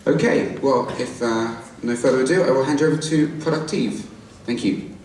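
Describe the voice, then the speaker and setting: A man's voice: mid-range voice, moderate pace, a little mellow. A man introducing the next speaker at a conference, groping for words with many fillers. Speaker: event presenter introducing speakers. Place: large conference hall. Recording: echoey hall from far off, faint noise.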